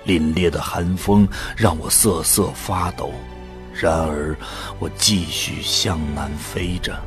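Guy acting wistful resolute hero narrating audio drama, really deep voice, music behind him. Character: male first-person hero, resolute, wistful, near death. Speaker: narrator and lead actor. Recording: voice under prominent background music.

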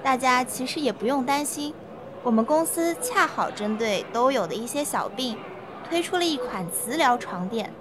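High-voiced, pushy saleswoman, acted by a woman, noisy recording. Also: animated, normal speed. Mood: persuasive. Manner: ingratiating, persuasive sales patter. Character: smooth-talking, pushy female health-product saleswoman pitching magnetic mattresses. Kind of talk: amateur legal-education radio play.

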